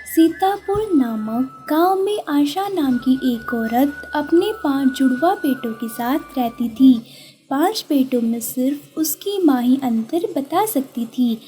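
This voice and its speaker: A woman giving scripted narration of a children's moral story in a measured storytelling manner. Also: sits high, lively intonation, warm tone, soft background music.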